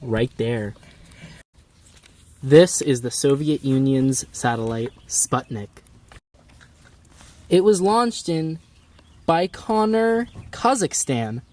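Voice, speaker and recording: A man's voice: mid-range voice, lively intonation, takes it slowly, long pauses, a little mellow. A young man presenting an amateur news report. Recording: distant mic, music behind. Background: music.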